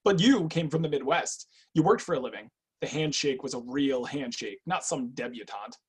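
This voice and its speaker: An actor playing a guarded, clinical agent with a cool, probing, businesslike manner in an online screenplay reading. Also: male voice, medium pitch, expressive, normal speed, slightly rough. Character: guarded, clinical intake agent, weary but obedient man. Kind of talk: online screenplay table read.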